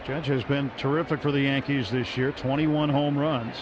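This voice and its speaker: A man calling a baseball game on the radio as play-by-play announcer, brisk and lifting with excitement on big plays. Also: mid-range voice. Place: ballpark broadcast booth. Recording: muffled broadcast audio with crowd noise.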